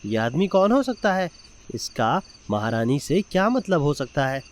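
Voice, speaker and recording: A man's voice: fairly high pitch, sing-song, measured pace. A man voicing an anxious, loyal royal minister in a cartoon story, with an agitated, pleading manner. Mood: puzzled, suspicious. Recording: loud music bed behind voice.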